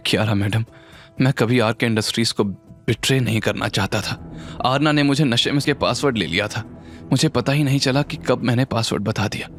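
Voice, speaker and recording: A man's voice: mid-range voice, a little fast, close to an everyday voice. Man acting an agitated, guilty office employee, music behind. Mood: pleading, desperate. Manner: agitated, blustering, deferential to superiors. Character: guilty, panicky male employee, defensive and pleading. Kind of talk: dramatised audio series acting. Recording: music bed under the voice.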